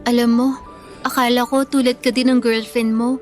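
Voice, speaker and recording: A woman's voice: on the high side, level tone, moderate pace, soft background music, close to an everyday voice. A woman playing a meek, bullied schoolgirl in a Filipino radio drama, speaking in an earnest, pleading way. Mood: shy, earnest. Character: meek, hurt bullied schoolgirl who loves pocketbook romances.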